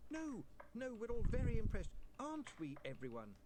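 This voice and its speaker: A man voicing a brisk, commanding young woman who stays unflappable, with loud keyboard typing behind. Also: extremely high pitch, wildly swooping pitch, heavy background noise, pitched above the performer's own voice, exaggerated voice. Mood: reassuring.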